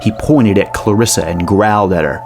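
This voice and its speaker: A man narrating a horror story in a measured, literary and ominous storytelling manner. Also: fairly low pitch, noisy background. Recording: music behind the voice. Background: soft music.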